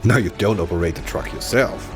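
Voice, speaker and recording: A man's voice: fairly low pitch, brisk. A man presenting scripted promotional narration for a video game broadcast, polished and explanatory. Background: music.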